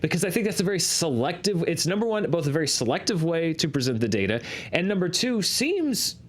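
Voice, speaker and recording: A man's voice: on the high side, very animated, a little fast. Man hosting an analytical commentary show. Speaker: host of a box office chart show. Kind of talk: conversational box office commentary.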